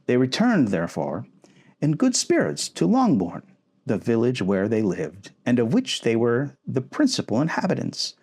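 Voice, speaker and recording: A man reads a storybook aloud as part of an ASMR boyfriend roleplay. His voice sits at an average pitch and moves up and down with lively, expressive intonation. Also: moderate pace, husky. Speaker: audio roleplay performer reading a novel aloud.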